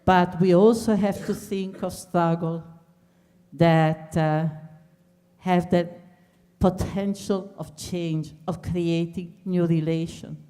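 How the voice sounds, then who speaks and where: A woman closing a lecture, earnest and measured, pausing on fillers. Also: on the low side, steady tone, dark tone. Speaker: speaker at a talk. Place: large lecture hall. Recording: hall reverb from a distance.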